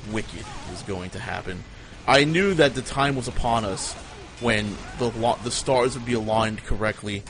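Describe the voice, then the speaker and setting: A man with a mid-pitched voice tells tall tales off the cuff on a live stream, ranting and rambling as he goes. He swears freely and keeps it casual. Some noise sits behind his voice. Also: fairly bright tone. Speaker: livestream host telling stories. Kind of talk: casual improvised tall-tale storytelling. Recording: noisy live stream room. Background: clear background noise.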